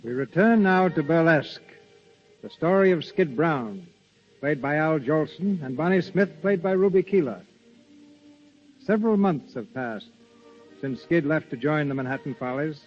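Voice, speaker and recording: A man's voice: a little high, expressive, normal speed, soft background music. A man hosting and narrating a radio theater show in scripted 1930s style. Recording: dull 1930s radio recording.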